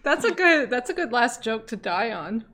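A woman podcast host running a casual interview, reacting quickly and laughing along. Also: a little high, very animated, sharp tone, a little hiss or hum.

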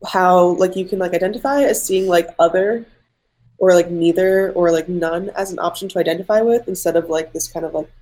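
A woman's voice: on the low side, fairly quick, a little mellow. A young woman, an artist guest, chatting casually in an interview, rambling with many "like" fillers. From mixed Egyptian and Irish family. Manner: rambling, heavy use of "like" fillers.

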